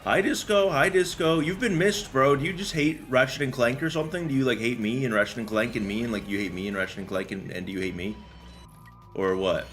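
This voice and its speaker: A man's voice: mid-range voice, a little fast, a bit bright, a little hiss or hum. A young man doing casual, slangy game commentary and chatting with viewers in a joking, rambling way. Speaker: game streamer. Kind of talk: casual gameplay commentary and chat.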